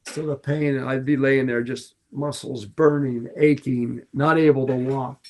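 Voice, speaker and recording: Older man, raspy, earnest, animated storyteller, casual podcast chat. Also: mid-range voice, a little slow. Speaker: podcast co-host.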